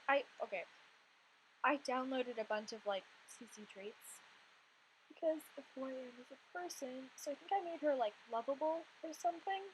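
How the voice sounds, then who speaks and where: A young woman in her twenties with a high-pitched voice, a little hoarse from losing it, talks through a gameplay voice-over. She rambles in a chatty, filler-heavy way at a home desk mic. Faint hiss runs underneath. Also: rough recording. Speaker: Sims content creator.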